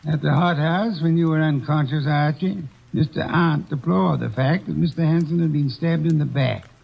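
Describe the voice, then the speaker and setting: A pompous gravelly old detective. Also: male voice, on the high side, moderate pace, full character voice. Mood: smug. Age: older. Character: portly older male detective, pompous and unflappable. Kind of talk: 1940s radio acting. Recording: dull old radio broadcast recording.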